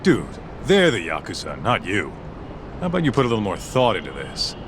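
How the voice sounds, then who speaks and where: An actor playing a streetwise, sarcastic but cautious sidekick in a video game, bantering in slangy, skeptical style. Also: male voice, fairly low pitch, huge pitch swings, brisk, noisy background. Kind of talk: English game dub acting.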